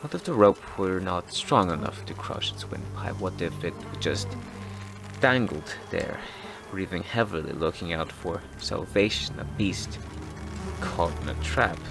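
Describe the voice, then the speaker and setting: An actor playing a haunted, obsessive doctor in an audio drama, telling his diary in a brooding, halting, gravelly voice that turns breathy at times. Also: low voice, lively intonation, heavily acted. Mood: brooding, morbid. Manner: brooding, deliberate, broken into halting phrases. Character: haunted, obsessive male doctor turned zealous ruler recounting childhood nightmare. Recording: loud music bed under the voice. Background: prominent music.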